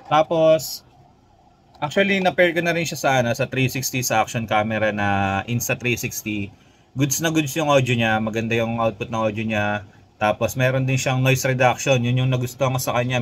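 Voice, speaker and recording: A man's voice: mid-range voice, slight background noise. A Filipino man giving a casual, conversational product review as a motovlogger, speaking directly to his viewers. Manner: conversational, addresses viewers, often tags phrases with "no?".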